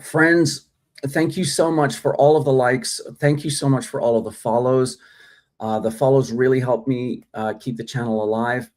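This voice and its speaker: A man's voice: mid-range voice, steady tone, moderate pace, a little mellow. A middle-aged man from Australia hosting a live acting stream, chatting casually and reading out viewer comments with many ums and uhs. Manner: chatty, reads viewer comments, frequent fillers. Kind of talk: casual livestream chat. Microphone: phone or desk mic, livestream.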